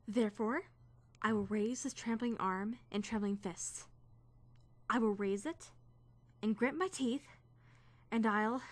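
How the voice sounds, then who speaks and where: A teenage girl student, acted by a woman, earnest and stiffly principled. Her voice is high and she speaks formally, with indignant emphasis, as in a dramatic visual novel. The recording is close and dry. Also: fairly bright tone, no room sound. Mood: worked up, defiant. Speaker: voice actor in a fan dub. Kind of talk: dramatic first-person visual novel narration and dialogue.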